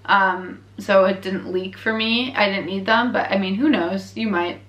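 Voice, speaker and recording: A woman's voice: mid-range voice, fairly even intonation, measured pace, a bit bright. A young Canadian woman vlogger with a Canadian accent, chatting candidly and laughing often as she reacts to her own earlier video. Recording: echoey room.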